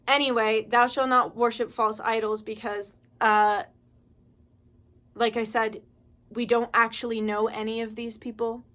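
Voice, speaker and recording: Young woman, fiery profane ranting monologue, rather high voice. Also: fairly bright tone. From United States. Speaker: spiritual channel host. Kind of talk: impassioned monologue to camera.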